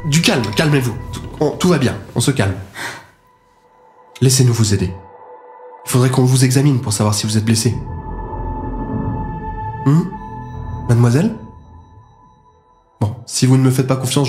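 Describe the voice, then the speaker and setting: An actor playing a friendly, slightly awkward old pal in an audio drama, talkative and informal. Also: male voice, medium pitch, lightly acted. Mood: soothing. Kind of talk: French audio drama acting. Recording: roomy sound with music behind.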